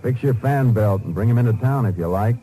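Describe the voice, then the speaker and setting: An actor playing a calm, commanding Texas Ranger who investigates and narrates in a terse, dry, authoritative way in a 1950s radio crime drama. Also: male voice, mid-range voice, fairly quick, a little hiss or hum, natural voice, low-quality recording. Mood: stern, dry. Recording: old 1950s radio recording.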